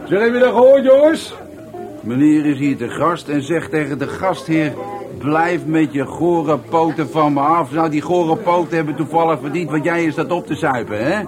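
A man's voice: fairly high pitch, moderate pace, natural voice. An actor playing a sneering, bullying party host in a radio drama, mocking and theatrical as he humiliates people. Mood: loud bullying. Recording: music playing behind.

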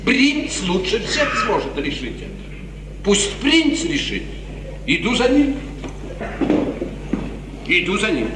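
An actor playing a smooth, scheming, cynical courtier with a glib, insinuating, theatrical manner in 1950s radio tragedy. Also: male voice, high voice, huge pitch swings, slow, pauses often, crowd noise, full character voice. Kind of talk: 1950s classical stage tragedy radio acting. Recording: noisy 1950s radio recording, echoing hall.

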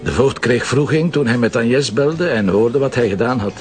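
A man's voice: mid-range voice, moderate pace, natural voice. A man with a Flemish accent playing a self-assured, teasing police commissioner in an audio drama, explaining things wryly. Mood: explaining, earnest.

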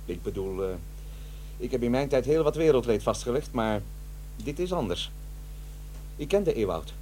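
An actor playing a polite, hesitant chief editor who is shaken by a colleague's death, in a radio drama. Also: male voice, medium pitch, very animated, normal speed, dark tone, slight background noise, natural voice, low-quality recording. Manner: hesitant, courteous. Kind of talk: 1990s radio drama acting.

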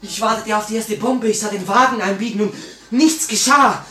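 A man's voice: high-pitched, normal speed, sharp tone. Young male conspirator, frightened and flustered, echoing old radio drama recording. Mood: panicked. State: agitated, just ran in. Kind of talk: 1950 German-language radio drama acting.

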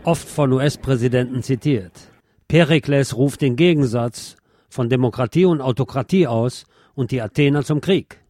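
Male narrator for a formal essay, mellow tone, measured emphasis, dry close sound. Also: mid-range voice, dry recording. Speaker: reader of an article. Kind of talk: read-aloud political essay, scripted narration.

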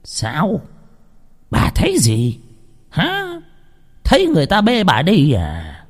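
A man's voice: fairly high pitch, huge pitch swings, slow pace, voice pushed up, full character voice. A man voicing a shifty, sardonic older fortune master in an audio story, questioning and teasing. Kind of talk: acted audio story dialogue.

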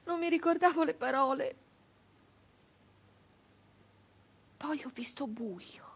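An actress playing a grieving, traumatized 19th-century peasant woman in a theatrical radio monologue, halting and intense, with breathy speech that can sink toward a whisper. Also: sits high. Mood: horrified, faltering. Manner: halting, intense, repeating phrases obsessively.

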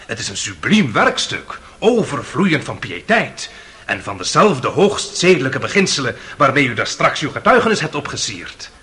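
A man's voice: mid-range voice, very animated, normal speed, sharp tone. An actor playing a suave, sarcastic defence lawyer in a radio courtroom drama, theatrical and insinuating in his mock courtesy. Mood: mock flattery. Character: suave, sarcastic male defence lawyer cross-examining witnesses.

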